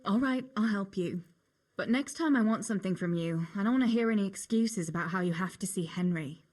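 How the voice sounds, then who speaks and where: A woman's voice: medium pitch, a little fast, a little mellow, natural voice. A young woman playing a wry, reluctant miller's daughter in a medieval video game, with a dry manner. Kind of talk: video game cutscene acting.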